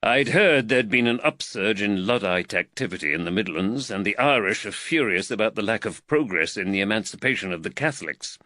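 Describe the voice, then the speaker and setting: A man reading an audiobook voices a calm, dry-witted male detective in a plain, measured voice close to his own. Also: fairly low pitch, no room sound.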